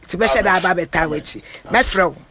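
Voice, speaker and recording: A man hosting a radio chat, excitable and quick to repeat himself. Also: sits high, a crowd in the background. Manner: excitable, stammers and repeats syllables. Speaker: radio host.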